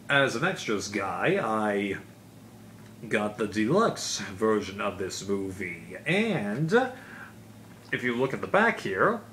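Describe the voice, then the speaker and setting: A man's voice: mid-range voice, fairly bright tone. A man giving a casual, unscripted review as a video host, explaining things in a rambling way. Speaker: video host, DVD collector. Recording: clear background noise.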